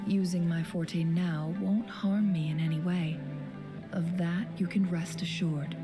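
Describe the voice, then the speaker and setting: A woman playing a serene, wise and wistful young woman in a video game, with soft, breathy, measured poetic delivery. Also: on the low side, steady tone, music in the background, close to an everyday voice. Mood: calm, tender. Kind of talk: video game story dialogue and narration.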